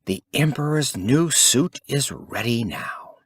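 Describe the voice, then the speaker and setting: Man playing a sly, showy, animated swindler. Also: mid-range voice, slow, breathy edge, dry recording, pitched above the performer's own voice, full character voice. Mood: smooth, flattering. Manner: showy, obsequious salesmanship. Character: sly swindler posing as fawning royal weaver.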